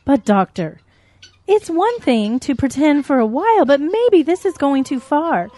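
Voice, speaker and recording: Radio-play mother cow, female actor: fretful, doubtful, high, drawn-out delivery. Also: sing-song, dark tone. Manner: hesitant, fretful. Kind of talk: comic audio drama acting.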